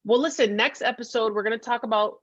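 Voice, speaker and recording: A woman's voice: on the high side, steady tone, quick pace, no room sound. Upbeat woman podcast host, dull lo-fi audio. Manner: upbeat, encouraging, emphatic. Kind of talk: casual catch-up podcast chat.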